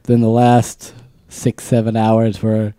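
Chatty mellow-voiced man in his twenties. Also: on the low side, completely flat delivery, takes it slowly, dry recording. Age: 20s. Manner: chatty, laughs often, acts out quotes. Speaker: podcast co-host. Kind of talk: casual chat.

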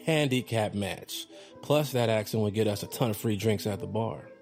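An actor playing a goofy, eager young male delinquent in a comic audio drama, excitable and bantering. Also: on the low side, normal speed, soft background music, lightly acted. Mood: playful banter. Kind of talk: comic audio fiction acting.

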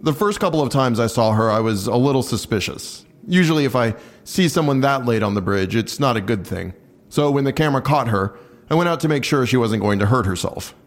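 Male beat cop acted for audio drama: mid-pitched, plain-spoken, guarded, offhand and a little reluctant. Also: lively intonation, a little fast, natural voice. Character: blunt, guarded male bridge patrol cop. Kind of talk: naturalistic audio drama acting.

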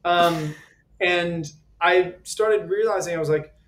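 Man, twenties, slightly high voice, casual, rambling, laughs a lot. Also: slow, fairly bright tone, rough recording. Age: 20s. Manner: chatty, laughs often, many fillers. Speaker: guest performer. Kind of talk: casual interview chat.

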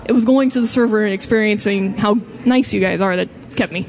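A woman's voice: medium pitch, brisk, darkish tone. A woman guest on a live podcast, chatting casually and teasing with a giggle. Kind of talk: casual chat. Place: convention hall. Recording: live podcast with crowd noise.

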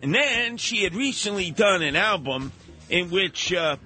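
A man with a high, bright voice works as a talk radio host. His delivery is theatrical and emphatic, full of repeated words and strong opinion, with faint music behind him. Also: animated, unhurried. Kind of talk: animated opinionated radio monologue and banter.

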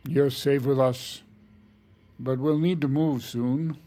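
An actor playing a calm, grave, protective doctor and father in an audio drama, speaking in a measured, gentle way. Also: mid-range voice, lightly acted. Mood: calm, reassuring. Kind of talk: naturalistic audio fiction acting.

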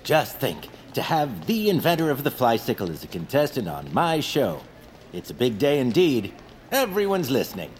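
An actor playing a showy, jolly radio game show host in audio fiction. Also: male voice, mid-range voice, wildly swooping pitch, normal speed, slight background noise. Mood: jovial.

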